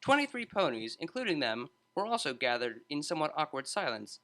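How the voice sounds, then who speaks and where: A man narrates a story in a bright, forward voice. His pitch sits a little high and moves in animated swings as he reads the scripted prose. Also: a little fast. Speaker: audiobook narrator.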